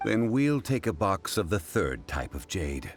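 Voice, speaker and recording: A man playing a composed, dignified gentleman in a video game, with formal and measured speech. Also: sits low, sing-song, faint music behind, exaggerated voice.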